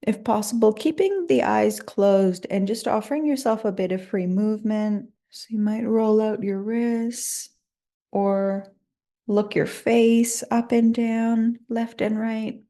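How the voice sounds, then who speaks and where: A woman leading a guided meditation in a soothing, unhurried and gently inviting manner. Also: medium pitch.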